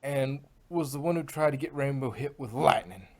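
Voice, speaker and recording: A man's voice: medium pitch, normal speed, close to an everyday voice. A man voicing a plain-spoken country farm mare, feisty but sensible, in a fan fiction read-aloud. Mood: angry. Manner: folksy.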